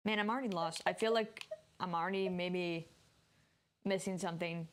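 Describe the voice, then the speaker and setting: A woman's voice: fairly low pitch, fairly even intonation, normal speed, a little hiss or hum. A woman chatting and thinking aloud as she gives casual live commentary on a game, sounding a bit tired. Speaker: game streamer. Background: game music.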